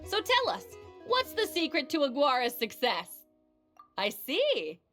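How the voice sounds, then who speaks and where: A showy tournament announcer from a video game. Her voice is high and bright, with swooping, animated intonation. She presents every line in a polished, upbeat emcee style, over clearly audible music. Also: measured pace, full character voice. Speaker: event announcer. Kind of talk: scripted game dialogue, ceremony emceeing.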